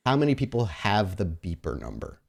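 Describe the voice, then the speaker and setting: Low-pitched man hosting a tabletop game stream. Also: huge pitch swings, a little fast, creaky, husky. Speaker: game master and host of an actual-play podcast. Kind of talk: casual chat moving into improvised scene narration.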